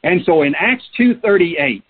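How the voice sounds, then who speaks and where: Middle-aged guy w/ preaching, kinda husky, sounds like a phone line. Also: on the high side, animated, unhurried. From Peru. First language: Spanish. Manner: earnest, emphatic, asks rhetorical questions. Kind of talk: sermon.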